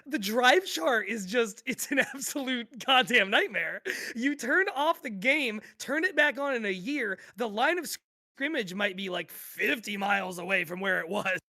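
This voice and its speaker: Casting: woman as lively, bright-voiced football-fan podcast co-host, amused and exasperated, casual and sweary. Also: on the high side, a little slow, exaggerated voice. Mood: incredulous. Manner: conversational, amused, giggly, sweary. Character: animated, exasperated sports-fan co-host recounting an absurd endless football game. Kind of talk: scripted podcast table read as casual sports chat.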